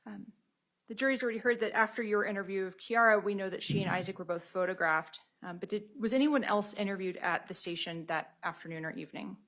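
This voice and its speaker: A woman with an American accent questioning a witness in a courtroom in a formal, measured manner as prosecutor. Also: mid-range voice, a bit bright. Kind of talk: courtroom examination.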